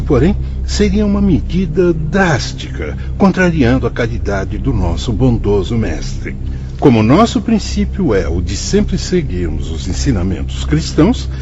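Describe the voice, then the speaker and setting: An actor playing a wise, compassionate priest who teaches in solemn, formal Brazilian Portuguese in a radio drama. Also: male voice, medium pitch. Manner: solemn, didactic, formal diction. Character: wise, compassionate male priest mentoring in a spirit-world institute. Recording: dull lo-fi audio with faint background noise.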